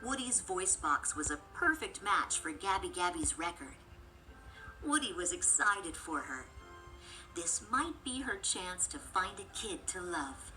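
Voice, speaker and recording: A woman's voice: lowish voice, very animated, unhurried, low-quality recording. A woman reading a scripted children's story as the narrator, with music and sound effects behind the voice. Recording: loud background music bed.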